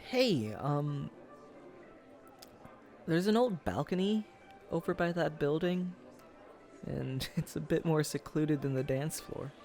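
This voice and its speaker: Man acting a nervous, smitten young guy, higher-pitched. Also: expressive, darkish tone, faint music behind. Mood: playful, conspiratorial.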